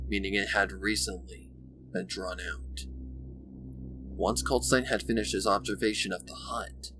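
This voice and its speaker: A man narrating a dark scripted story in a dramatic, ominous and deliberate manner. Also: fairly low pitch, poor audio.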